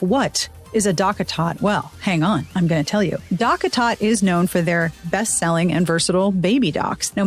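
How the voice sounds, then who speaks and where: Podcast host, American woman, bubbly and playful, mid pitch, faint music under her. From United States. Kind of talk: casual podcast chat and read ads.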